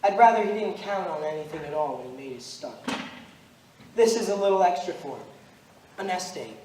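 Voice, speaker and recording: Young male actor as Judas-like accusing spirit: high voice, solemn and deliberate, amateur stage drama, picked up from across a roomy space. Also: animated. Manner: solemn, deliberate, declamatory storytelling. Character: Judas, ghostly accusing stranger, eighteen centuries old, grave and relentless. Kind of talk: amateur stage play acting. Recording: roomy, noisy stage recording from far off.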